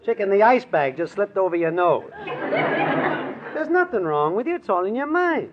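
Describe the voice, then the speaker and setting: An actor playing a breezy, shiftless, slangy city boyfriend in a 1940s radio comedy. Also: male voice, high voice, sing-song, a little slow, a crowd in the background. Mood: cocksure, coaxing. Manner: slangy, confidently ignorant. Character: breezy, shiftless city wise-guy boyfriend, cheerfully ignorant. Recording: muffled noisy old radio, audience.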